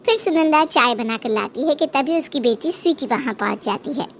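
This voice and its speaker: A woman's voice: sits high, animated, fairly quick, a little hiss or hum. A woman reading scripted narration for a Hindi cartoon bedtime story.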